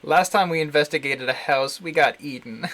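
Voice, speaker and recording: A man's voice: on the high side, normal speed, fairly bright tone, rough recording. A man livestreaming casual commentary on a visual novel, thinking aloud in a rambling, jokey way. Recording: music behind the voice. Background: game music.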